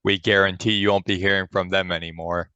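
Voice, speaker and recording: A man playing a shady, pushy pitchman in a parody radio commercial, with slick sales patter and rushed disclaimers. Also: little pitch movement, pushed and bright, clear, resonant tone, dry recording, dull audio. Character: pushy fast-talking shady male robot-company infomercial pitchman.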